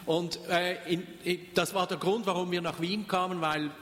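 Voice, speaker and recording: An older man preaches in a high-pitched voice. He delivers his sermon in short phrases and pauses so an interpreter can follow. The long reverb of a church hall trails behind every line. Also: fairly even intonation, fairly bright tone. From Switzerland. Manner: speaks in short phrases, pausing for translation. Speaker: pastor.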